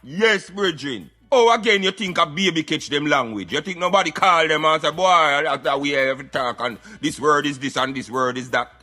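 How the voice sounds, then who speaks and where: An emphatic Jamaican Rasta played by a man holds forth on a satirical radio show. His voice is highish and forceful, preachy and streetwise, with faint music behind. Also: normal speed, hardly any pauses. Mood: insistent, preachy. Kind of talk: satirical radio rant in patois.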